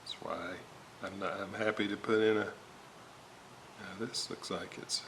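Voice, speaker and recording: A man talks through a hands-on repair at an indoor bench, taking his time. His voice is fairly low yet bright, and he halts as he thinks aloud. Some noise sits behind him. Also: fairly even intonation. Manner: halting, thinking aloud while working. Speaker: host of a radio restoration video series. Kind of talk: informal hands-on repair walkthrough. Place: indoor workbench, hands working on components. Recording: noticeable background noise.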